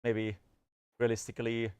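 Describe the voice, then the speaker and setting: A man's voice: mid-range voice, completely flat delivery, moderate pace, a little mellow, smooth. A man on a casual livestream talking at length about technical work, full of fillers and restarts. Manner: rambling technical talk, many fillers and restarts. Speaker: developer and podcast co-host.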